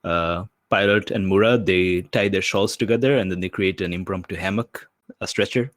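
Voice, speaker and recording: A man's voice: low-pitched, moderate pace, no echo, clean studio sound. A man chatting casually as a player in a tabletop game.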